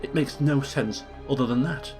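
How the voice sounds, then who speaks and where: A man with a slightly hoarse voice, hosting a chatty let's play and walking through game menus. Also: mid-range voice, moderate pace, faint music behind. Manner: upbeat, chatty, explains game menus step by step. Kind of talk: casual gameplay commentary.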